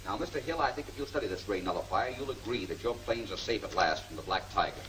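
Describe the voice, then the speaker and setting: An actor playing a confident inventor in an old film serial, explaining things in an articulate, lecturing manner. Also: male voice, on the low side, expressive, fast talker, over a noisy crowd, natural voice. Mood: assured. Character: confident inventor explaining his device to a client. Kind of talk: 1940s film serial acting. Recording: vintage film soundtrack, distant mic, music behind.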